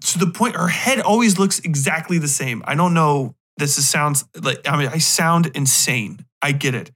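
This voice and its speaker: A man's voice: fairly high pitch, moderate pace, fairly bright tone. Rambling, wry man podcasting solo. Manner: rambling, self-interrupting, wry asides. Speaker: podcast host. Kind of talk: casual solo podcast monologue.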